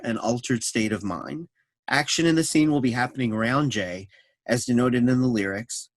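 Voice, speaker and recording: A man's voice: mid-range voice, no room sound. A man reading scripted stage directions as narration for a staged reading of a play.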